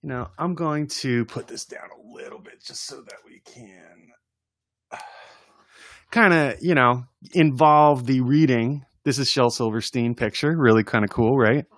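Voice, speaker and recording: Slow-paced man casually reading children's poems aloud. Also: medium pitch, fairly bright tone, no echo, studio quality. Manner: informal, chuckling, chatty asides between readings. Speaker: reader presenting children's poems.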